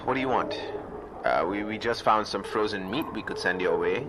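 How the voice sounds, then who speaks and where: A man as an earnest low-voiced outpost official, loud background. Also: moderate pace, background noise, natural voice. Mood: earnest, uneasy. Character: earnest, businesslike male official at a neighbouring outpost. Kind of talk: audio fiction acting.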